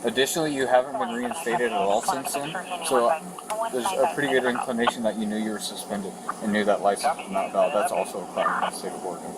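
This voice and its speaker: A man's voice: medium pitch, steady tone, quick pace. An American man working as a police officer, explaining things procedurally during a roadside stop. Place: outdoors at a roadside traffic stop. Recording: noisy outdoor police bodycam audio.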